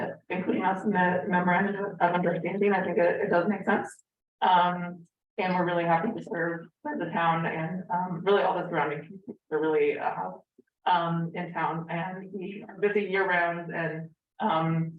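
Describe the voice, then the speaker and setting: A woman who is a library director, hoarse from a cold, presenting at a town meeting with lots of ums. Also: fairly low pitch, a little hiss or hum. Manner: many filler ums, soft-spoken. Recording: distant roomy lo-fi meeting recording.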